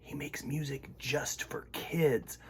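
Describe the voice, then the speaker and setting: A man's voice: on the high side, fairly even intonation, slow pace, gravelly, darkish tone, breathy edge, whispered. A man hosting storytime, reading a picture book aloud in a dramatic, playful, emphatic way for children. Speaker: children's storytime host and reader.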